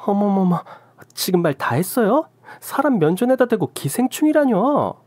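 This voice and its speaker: A woman's voice: medium pitch, sing-song, moderate pace, a little mellow, slightly rough, no room sound, pitched above the performer's own voice. A woman voicing a shifty young woman who stammers and turns indignant, in a dry recording. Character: shifty young woman friend, flustered and defensive.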